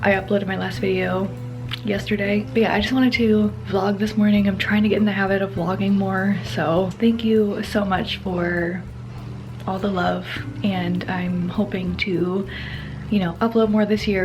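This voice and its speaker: A young woman in her twenties chatting casually in a vlog, hoarse as she is losing her voice, soft-spoken and full of "like" fillers. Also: medium pitch, poor audio. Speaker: vlogger and teacher.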